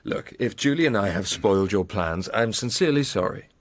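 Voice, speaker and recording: A man's voice: on the low side, expressive, a little fast, no echo, lightly acted. An actor playing a frustrated, sceptical young man in a radio drama, speaking directly and challengingly. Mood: frustrated, insistent. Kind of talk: radio drama dialogue acting.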